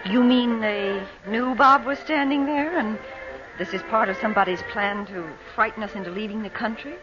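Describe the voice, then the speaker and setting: A woman's voice: on the high side, moderate pace, lightly acted. An actress playing an anxious, refined middle-aged mother in an old-time radio drama. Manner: refined, worried. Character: anxious, genteel mother of two. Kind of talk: 1940s radio acting. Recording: dull old radio recording with music.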